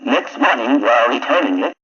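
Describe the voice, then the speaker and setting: A male narrator tells a dramatic children's story in a sharp, forceful voice. His pitch moves in lively swings. The recording has the dulled, lo-fi sound of a 1970s record. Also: fairly quick, slightly rough.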